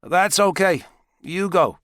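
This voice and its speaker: A man's voice: high-pitched, animated, slow pace, a bit bright, no echo, studio quality, pitched above the performer's own voice, heavily acted. A man voicing a determined police officer of thirty with a probing, insistent manner in an audiobook. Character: questioning, determined thirty-year-old male police officer.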